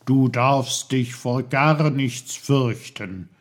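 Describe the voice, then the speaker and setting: A man's voice: mid-range voice, extremely slow. A man voicing a tiny, kindly, wise magical uncle in a children's story, instructing in an emphatic, avuncular way. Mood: reassuring. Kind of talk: German children's storybook character voice.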